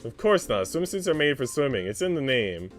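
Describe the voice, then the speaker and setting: A man voicing a calm, teasing grown-up in a visual novel, gently firm and playful with a child. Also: mid-range voice, fairly quick, soft background music, lightly acted. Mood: reasoning.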